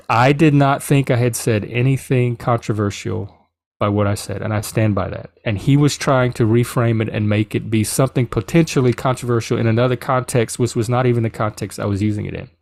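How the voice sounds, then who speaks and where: A middle-aged man from South Louisiana with a Cajun English accent, telling a personal story in a casual, animated way. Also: mid-range voice, moderate pace, gravelly, no room sound, studio quality. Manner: animated, quoting others in reported dialogue. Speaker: podcast host.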